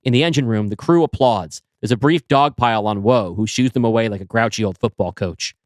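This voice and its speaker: A man reading a science fiction script aloud as narrator, in an even, descriptive manner. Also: fairly low pitch, fairly quick, no room sound, studio quality. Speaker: storyteller.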